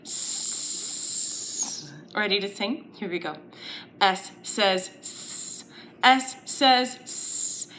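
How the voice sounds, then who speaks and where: A woman's voice: mid-range voice, drawn-out words, long pauses, fairly bright tone, a little hiss or hum, low-quality recording. A woman hosting a children's storytime lesson, speaking in simple short phrases and questions with a playful, exaggerated singsong delivery. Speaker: children's storytime host and teacher. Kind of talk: children's lesson, interactive and instructional.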